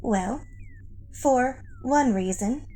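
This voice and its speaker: A woman playing a deferential, wry alien underling giving measured reports in a sci-fi satire audio play. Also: on the high side, mellow. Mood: dry, matter-of-fact.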